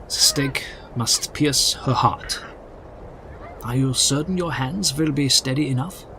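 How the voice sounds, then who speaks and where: A man playing a courtly older doctor and stern vampire hunter in an audio drama, with measured, grandiose speech. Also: mid-range voice, pushed and bright, slight background noise, low-quality recording. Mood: grave. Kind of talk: theatrical audio drama acting. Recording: music under the dialogue.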